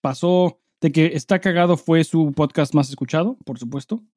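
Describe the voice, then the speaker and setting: Mellow-voiced Mexican man co-hosting a podcast. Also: on the high side, normal speed, dry recording, studio quality. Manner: swears freely, slangy. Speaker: podcast co-host. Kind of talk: casual chat and listener-mail reading.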